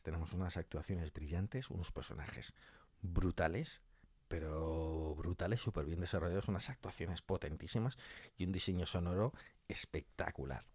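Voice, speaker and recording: Low-voiced man from Spain, effusive and colloquial. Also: husky, dry recording. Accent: Spanish from Spain. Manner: effusive, colloquial, emphatic repetition. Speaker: interview guest, audio fiction scriptwriter. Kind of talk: casual conversational interview.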